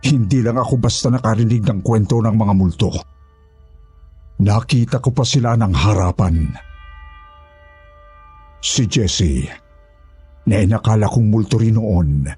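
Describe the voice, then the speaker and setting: A man's voice: fairly low pitch, unhurried, long pauses, dark tone, breathy edge, soft background music. A man playing a humble former houseboy, narrating a horror story in the first person with an earnest, polite manner. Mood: recounting, earnest.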